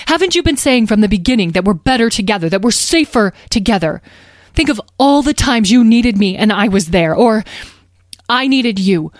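A woman's voice: mid-range voice, warm tone, dry recording, clean studio sound, pitched above the performer's own voice, close to an everyday voice. A woman voicing an anxious, protective mother dragon in a storybook, stern and pleading, with urgent, emphatic delivery.

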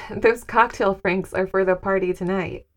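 A woman's voice: mid-range voice, steady tone, a little slow, close to an everyday voice. A woman playing a practical, guarded wife hosting guests in an audio drama, polite and matter-of-fact. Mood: explaining.

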